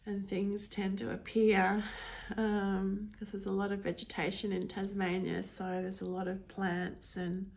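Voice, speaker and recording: Slow-talking nasal woman. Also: medium pitch, completely flat delivery, gravelly. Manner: slow, gentle, with frequent ums. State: hay fever congestion. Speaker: thrift vlogger. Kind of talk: casual show-and-tell of thrift finds.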